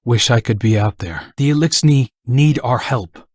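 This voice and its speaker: A man's voice: mid-range voice, moderate pace, heavy background noise, lightly acted. A man playing an earnest, slightly awkward young scout in a video game, muttering casually as if to himself. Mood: pensive, wistful. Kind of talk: video game character voice lines.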